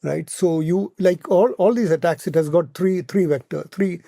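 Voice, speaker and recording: Male analyst, Indian accent, warm dark timbre, close dry sound, measured explanatory delivery with repeated words. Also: sits high, vocal fry, no room sound. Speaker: podcast guest, policy analyst. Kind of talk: explanatory podcast discussion.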